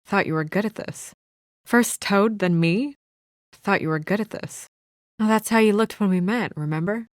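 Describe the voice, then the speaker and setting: A young female office assistant from a noir game, acted by a woman, brisk and guarded. Her voice sits at a medium pitch with crisp, no-nonsense, understated delivery. The recording is close and dry, free of room sound. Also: dry recording, lightly acted. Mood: dry, wry. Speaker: video game voice actor. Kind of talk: naturalistic video game drama acting.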